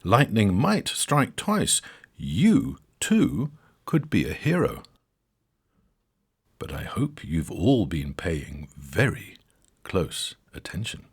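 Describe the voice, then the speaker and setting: A man narrating a scripted comic story for a podcast, wry and theatrical with dramatic pauses. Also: low-pitched, huge pitch swings, gravelly, breathy edge.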